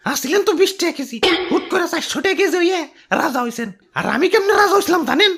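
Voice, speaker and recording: A woman's voice: extremely high pitch, animated, fast, a bit bright, faint music behind, exaggerated voice. A woman voicing a small cartoon chili pepper minister and proud ex-king, servile yet argumentative, with a Bangladeshi accent. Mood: cheeky. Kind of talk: comic cartoon acting.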